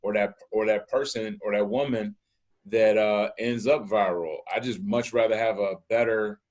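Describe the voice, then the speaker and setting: A man hosting a casual chat podcast, talking in an animated way and repeating words as he starts his thoughts. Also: on the low side, normal speed. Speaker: podcast host.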